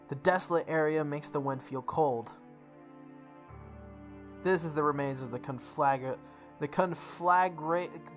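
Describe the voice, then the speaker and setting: Expressive reader stumbling through game text over music. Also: mid-range voice. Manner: stumbles over words and repeats phrases. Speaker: gamer reading a visual novel aloud. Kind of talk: playthrough read-aloud with offhand asides.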